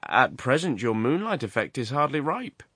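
A man acting a high, nervous, reluctant gentleman. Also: big pitch swings, moderate pace, husky, no echo, clean studio sound. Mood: casual, uneasy. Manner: nervous, artificial, strained. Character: uneasy, reluctant man with snarling nervous politeness. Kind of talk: dramatized storybook narration.

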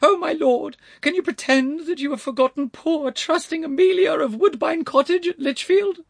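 A man's voice: very high voice, moderate pace, vocal fry, no room sound, studio quality, pitched above the performer's own voice. A man voicing a strident, melodramatic elderly lady in an audiobook, wailing and pleading theatrically. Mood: tearful, pleading. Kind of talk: dramatised audiobook character voice.

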